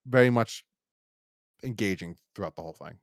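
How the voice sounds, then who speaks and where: A man's voice: lowish voice, a little fast, vocal fry, dry recording, studio quality. A man co-hosting a podcast, chatting casually and enthusiastically through a review in a rambling way. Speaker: podcast co-host.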